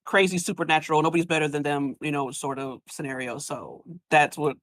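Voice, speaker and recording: A woman talks casually as a podcast guest, informal and enthusiastic. Her voice sits slightly low and her phrasing is slangy. The sound is dry, with no room echo. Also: steady tone, fairly quick. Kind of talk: casual chat.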